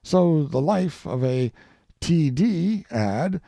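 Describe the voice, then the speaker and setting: A man reading a humorous old speech aloud as scripted narration, in a measured storytelling delivery with deliberate pauses. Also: a little high, lively intonation, gravelly, warm tone, dry recording. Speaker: audiobook reader.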